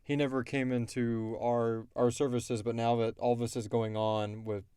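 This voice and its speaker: A man's voice: medium pitch, level tone, moderate pace, dark tone, clean tone, no room sound, clean studio sound. A man hosting a casual chat, answering questions at length with opinionated, slangy asides. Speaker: podcast host answering listener questions.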